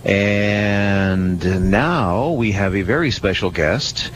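A man's voice: on the low side, drawn-out words. A man hosting a live radio talk show in a casual, chatty and jokey way. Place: live on location radio broadcast. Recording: faint background noise, live location radio.

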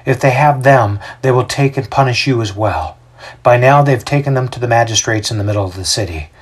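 A man voicing an anxious, gentle young woman in a story, earnest and troubled. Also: mid-range voice, fairly quick, husky, close to an everyday voice. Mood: urgent warning.

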